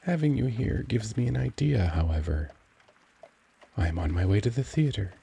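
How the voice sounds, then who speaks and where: A man playing a young, charming, cultured vampire gentleman in an ASMR audio drama, speaking in a gentle, caring, genteel and formal manner. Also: fairly low pitch, lively intonation, moderate pace, vocal fry, slightly rough, heavily acted. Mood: charming, inviting. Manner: genteel, formal, soft-spoken, courtly phrasing.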